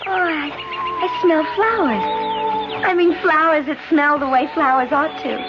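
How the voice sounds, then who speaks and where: An actress playing a wistful, hesitant young woman full of halting wonder in a radio drama. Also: high-pitched. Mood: awed, delighted. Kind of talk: 1970s radio drama acting. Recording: dull lo-fi old radio recording. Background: music.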